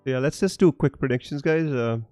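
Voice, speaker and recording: A young man from Punjab with a blended accent that is hard to place talks loosely through a live stream, reading out comments and restarting his sentences. His voice is warm and mellow, sitting in the middle of the male range. Faint music plays underneath. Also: mid-range voice, a little fast, no echo. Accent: mixed, hard to place. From Punjab, India. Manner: chatty, frequent fillers and restarts, laughs often. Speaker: fantasy football streamer.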